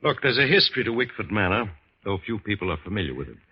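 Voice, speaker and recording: Grave occult-minded doctor, lowish voice, portentous, 1950s radio play, dull lo-fi recording. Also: male voice, very animated, quick pace, breathy edge, heavily acted. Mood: grave, ominous. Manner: solemn, portentous storytelling. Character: grave, learned doctor versed in the supernatural. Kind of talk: 1950s radio horror acting.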